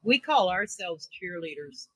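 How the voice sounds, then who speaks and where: A woman chatting and telling stories as a guest, animated, acting out the reactions she quotes. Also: fairly low pitch, a little slow, slightly rough, no echo, rough recording. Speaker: radio show co-host as guest. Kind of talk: conversational storytelling.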